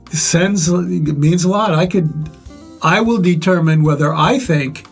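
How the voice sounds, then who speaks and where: An older man with a relatively loud voice, reflectively sharing life stories and advice in measured, pause-filled speech. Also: fairly high pitch, husky. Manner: measured, pausing often with "uh". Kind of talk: reflective conversational storytelling.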